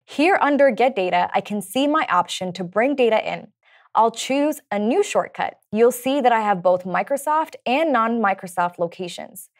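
A woman in her thirties giving a scripted product explanation as a guest expert in a studio. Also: a little high. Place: studio set at a desk.

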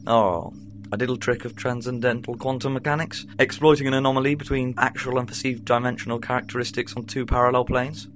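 An actor playing an eccentric, jovial time traveller in an amateur audio drama. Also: male voice, mid-range voice, fairly even intonation, fairly quick, fairly bright tone, slight background noise, lightly acted. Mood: breezy. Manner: airy, jovial, upper-class British.

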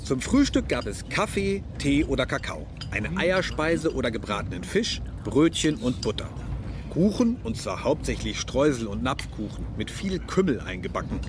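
A mid-range male voice with lively intonation as an actor plays a genteel guest in a radio play. He recalls shipboard details chattily and precisely, with clinking noise behind him. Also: medium pitch, normal speed, close to an everyday voice. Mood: dryly fond. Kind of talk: radio drama memoir reading.

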